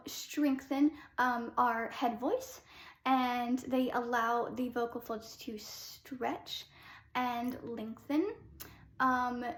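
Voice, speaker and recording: High-voiced chatty woman, echoey room. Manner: chatty, many ums, light laughs. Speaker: voice teacher, classical soprano. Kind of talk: casual vocal lesson.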